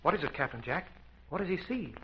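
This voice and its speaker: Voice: mid-range voice, big pitch swings, quick pace, close to an everyday voice. A performer playing an eager, earnest American boy adventurer in an old-time radio serial. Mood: anxious. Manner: breathless, earnest, exclaiming. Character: eager excitable boy adventurer, loyal and brave. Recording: dull, noisy vintage radio recording.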